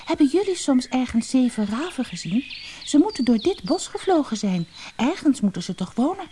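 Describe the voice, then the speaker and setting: Little girl played by a woman, storybook: curious, brave, wide-eyed, high and animated. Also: moderate pace, slight background noise. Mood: pleading. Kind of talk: storybook character voice.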